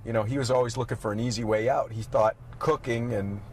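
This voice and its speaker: A middle-aged man from Massachusetts tells family stories at an unhurried, halting pace, full of little fillers. His voice sits at an ordinary pitch for a man. Steady road and car noise runs clearly under him in the moving car. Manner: easygoing storyteller, halting with fillers. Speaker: guest, restaurant owner. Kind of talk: casual interview chat. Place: inside a car.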